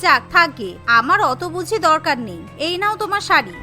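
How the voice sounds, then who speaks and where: A woman's voice: high voice, very animated, brisk, pushed and bright, faint music behind, heavily acted. A woman voicing a spiteful, jealous young college-age sister-in-law in a cartoon family story, sulky, taunting and manipulative. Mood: sulky, hurt. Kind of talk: animated family story dialogue.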